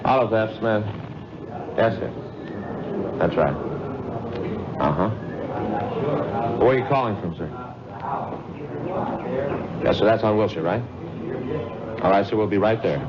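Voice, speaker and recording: An actor playing an easygoing, workaday police detective partner in a 1950s radio drama, with a dry, laconic, understated delivery. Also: male voice, on the low side, measured pace, pauses often, natural voice. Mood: businesslike. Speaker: supporting actor. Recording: dull vintage radio, loud background chatter.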